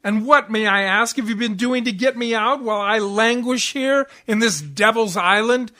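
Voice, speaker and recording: A man's voice: high voice, moderate pace, sharp tone, no echo, studio quality. A man playing an exasperated, sarcastic jailed husband in a 1940s-style radio comedy, grumbling and sardonic. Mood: indignant.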